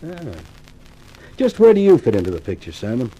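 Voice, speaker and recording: Wry hardboiled columnist, husky, mellow. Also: male voice, mid-range voice, moderate pace, poor audio. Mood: weary, resigned. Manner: deadpan, sardonic wisecracking. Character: wry, world-weary male newspaper columnist, hardboiled narrator. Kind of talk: 1950s radio noir acting.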